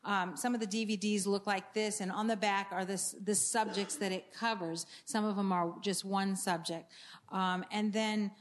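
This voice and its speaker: A woman's voice: medium pitch, steady tone, moderate pace. A woman from Maine giving an earnest church talk about books and ministry resources, with frequent fillers and restarts. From Maine, USA. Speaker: ministry speaker promoting books.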